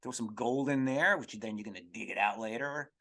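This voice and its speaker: A man hosting a comedy podcast, rambling through an irreverent, profane monologue in a halting, digressive way. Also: mid-range voice, gravelly, sharp tone. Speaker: podcast host.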